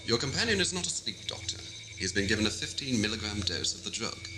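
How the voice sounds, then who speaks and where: A man's voice: fairly low pitch, big pitch swings, fast talker, over loud music. A man playing a smug, arrogant renegade alien villain with pompous, formal diction in an amateur audio drama. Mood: cold, threatening.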